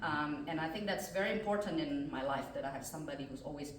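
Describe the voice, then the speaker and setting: An Indonesian-accented woman in middle age talks through her story on a panel, earnest and chatty, with plenty of ums and uhs. Her voice sits a little low. She sounds far from the mic, in a strongly reverberant room with faint noise behind. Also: fast. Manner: earnest and chatty, with many fillers. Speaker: mathematics professor on a panel. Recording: echoey room, distant mic.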